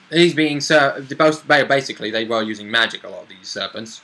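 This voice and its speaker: Male co-host, very bright and forceful tone, medium pitch, casual gamer chat. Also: lively intonation, moderate pace, poor audio. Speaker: co-host and player recalling the game. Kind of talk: casual chat about a roleplaying game.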